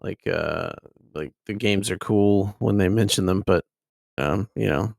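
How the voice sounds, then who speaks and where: Gravelly low-voiced man chatting casually. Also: unhurried, darkish tone, no room sound, studio quality. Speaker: podcast co-host. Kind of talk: casual video game podcast chat.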